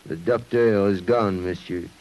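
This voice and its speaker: A man's voice: deep voice, a little slow, darkish tone, a little hiss or hum, rough recording. An actor playing a grave, bereaved French father-in-law, formal and solemn, in 1950s radio drama style. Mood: grieving.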